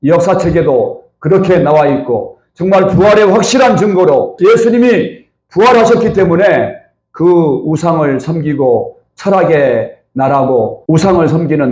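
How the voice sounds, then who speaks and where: Mellow-voiced preacher in a roomy church. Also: male voice, on the high side. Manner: preaching with rhetorical questions to the congregation and calls of amen. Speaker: senior pastor. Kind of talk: Sunday worship sermon. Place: church sanctuary.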